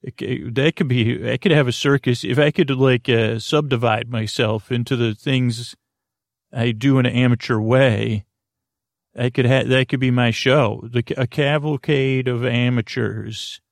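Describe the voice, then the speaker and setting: Man, gravelly creaky voice, dry close sound, lulling bedtime podcast host, halting, meandering monologue. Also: medium pitch, fairly even intonation, no room sound. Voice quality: creaky, drawling. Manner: halting, stammering, digressive, with goofy tangents; lulling, soothing.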